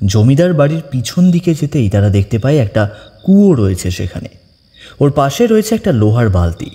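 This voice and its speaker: Male horror audiobook narrator; warm, relaxed timbre, expressive intonation, long echoing tail. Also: medium pitch, moderate pace. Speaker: story narrator. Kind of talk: dramatic scripted narration of a ghost story.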